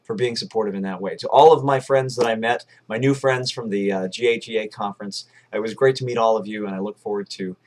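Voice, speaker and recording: Male, 20s, somewhat low register, casual and wordy film-director host. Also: steady tone, fast talker, few pauses, a little mellow. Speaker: film director hosting a video blog. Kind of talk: casual interview and promotional chat. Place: furnished room at home, indoors.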